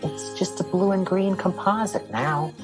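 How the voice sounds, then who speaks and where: Earnest wide-eyed alien, music behind. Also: male voice, high-pitched, a little slow. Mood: earnest, hopeful. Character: wide-eyed, optimistic male alien looking down on Earth. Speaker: actor in an audio drama. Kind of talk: scripted audio drama acting.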